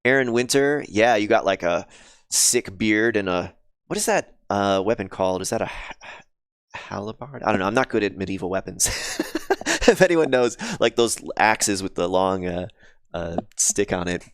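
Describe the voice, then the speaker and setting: A man thinking aloud on a casual live coding stream, pausing with ums and chatting with viewers, his voice slightly scratchy and sniffly. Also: lowish voice, animated, clean tone, no room sound, studio quality. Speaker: game developer streaming live.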